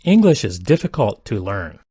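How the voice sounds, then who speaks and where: A man's voice: lowish voice, moderate pace, breathy edge, no echo, studio quality. Man reading practice sentences twice. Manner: reads each sentence twice, careful and even. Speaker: English sentence reader. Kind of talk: slow repeated sentence reading for learners.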